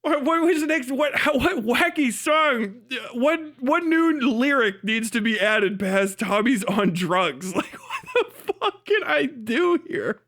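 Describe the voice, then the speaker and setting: A man's voice: very high voice, big pitch swings, slow pace, few pauses, a bit bright. A man livestreaming mocking commentary on a video, casual and sweary, with incredulous exclamations.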